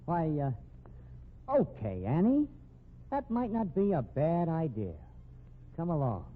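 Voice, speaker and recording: 1950s radio detective type: determined, clipped, animated delivery, narrow old-radio sound. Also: male voice, on the high side, a little slow. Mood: coaxing. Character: brisk, determined male amateur sleuth. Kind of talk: 1950s radio drama acting. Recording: band-limited old radio recording, faint noise.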